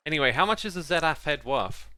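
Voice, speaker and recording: A man's voice: on the high side, lively intonation, fast talker, sharp tone, smooth, dry recording. A man chatting casually as he hosts a gaming video, close on the microphone. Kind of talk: casual gameplay commentary and chat.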